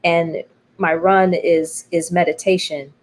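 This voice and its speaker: A middle-aged American woman with a slightly low voice talks in a personal, reflective conversation. She is thoughtful and emphatic, often pausing or circling back over a phrase to stress it. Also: little pitch movement. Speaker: interview guest, playwright.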